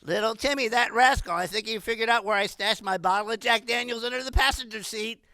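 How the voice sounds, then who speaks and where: A woman in her sixties performing a stand-up comedy monologue in an animated, rambling way. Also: fairly high pitch, fast talker, dry recording, clean studio sound. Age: 60s.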